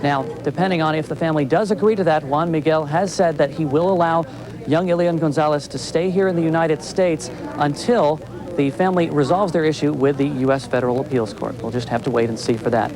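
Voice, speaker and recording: A man's voice: fairly high pitch, fairly even intonation, a little fast, low-quality recording. A man working as a television field reporter, delivering a live news report from outdoors. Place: outdoors on a city street. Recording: outdoor live shot with crowd noise. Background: crowd and street noise.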